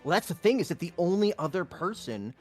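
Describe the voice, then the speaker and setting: A man's voice: high-pitched, big pitch swings, fairly quick, a little mellow, breathy edge, soft background music. A man playing a tabletop roleplaying game, chatting casually as a player. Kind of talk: casual, animated game chat.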